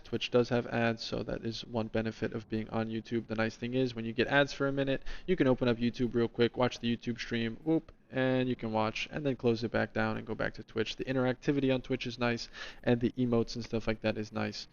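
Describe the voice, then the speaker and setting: A man's voice: medium pitch, vocal fry. Guy streaming, chats w viewers, rambles a lot, kinda dull sound. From New Jersey, US. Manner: rambling, chatty, talks to chat by name. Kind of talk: casual stream chat in a lemon persona.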